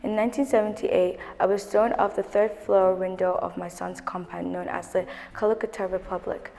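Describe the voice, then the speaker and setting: A woman's voice: medium pitch, fairly even intonation, moderate pace, vocal fry, warm tone, long reverb tail, soft background music, close to an everyday voice. A young performer voicing a proud older woman activist, reciting her story in the first person. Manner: recited, declamatory. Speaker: child performer in a school show.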